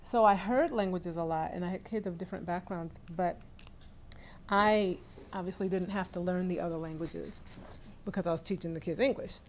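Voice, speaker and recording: A woman's voice: fairly low pitch, moderate pace, slight background noise. A woman hosting a casual livestream from home, reading out viewer comments and chatting with her family, laughing often. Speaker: livestream host.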